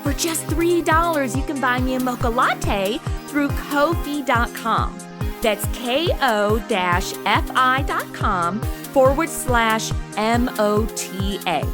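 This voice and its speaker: A woman's voice: high-pitched, expressive, slow pace, over music. A woman playing a sassy, spunky twenty-something amateur cop in a comedic audio drama, with flippant, slangy delivery. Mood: chatty.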